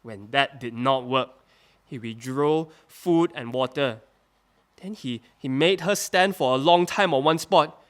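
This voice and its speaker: An actor playing a male witness at a wartime trial, speaking in a halting testimony that climbs into agitated outbursts. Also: medium pitch, a bit bright, close to an everyday voice. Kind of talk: audio drama acting.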